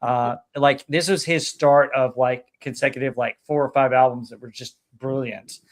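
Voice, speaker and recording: A man's voice: mid-range voice, fairly even intonation, normal speed. A man hosting a casual podcast chat about music, talking enthusiastically with many fillers. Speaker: podcast host.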